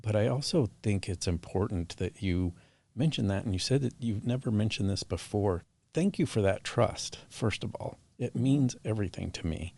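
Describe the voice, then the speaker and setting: A man with a very low, husky voice hosts a conversational interview. He sounds earnest and affirming, with plenty of gravelly creak in his empathetic questions. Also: expressive, moderate pace, darkish tone. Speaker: podcast interview host.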